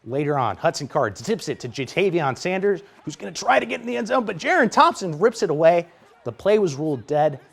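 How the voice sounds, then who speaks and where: An American man working as a sports reporter, reading scripted highlight narration with energetic play-by-play delivery. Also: brisk, vocal fry, husky.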